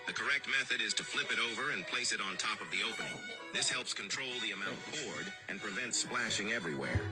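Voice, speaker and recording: A man's voice: on the low side, expressive, fast talker. A man's earnest, scripted voiceover narrating a short life-hack video. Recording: music behind video voiceover. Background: music.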